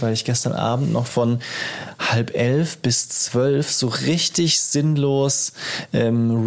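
Tired guy co-hosting a podcast, mid-pitched voice, rambles along, pokes fun at himself. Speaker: podcast co-host. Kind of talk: casual banter podcast chat.

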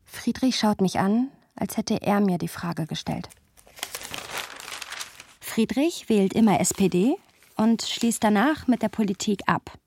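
An actress playing a witty, argumentative woman in a radio play, musing wryly and digressively in a slightly husky voice. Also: mid-range voice, a little slow, darkish tone. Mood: argumentative, playful. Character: Sophia, witty, argumentative woman musing about her friend. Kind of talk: radio play narration and dialogue.

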